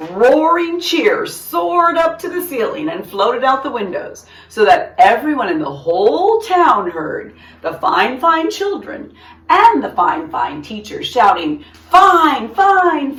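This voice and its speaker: Animated woman reading a storybook, echoey room. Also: a little high, slow pace. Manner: animated, emphatic. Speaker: storytime reader, teacher. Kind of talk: expressive read-aloud of a children's story. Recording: roomy echo, distant microphone.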